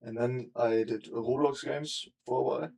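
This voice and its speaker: A man's voice: medium pitch, fairly even intonation, moderate pace, vocal fry, mellow, slight background noise. A young man streaming casually as he works, thinking aloud in loose, rambling sentences that often trail off. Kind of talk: casual live-stream chat while working. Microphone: desk mic.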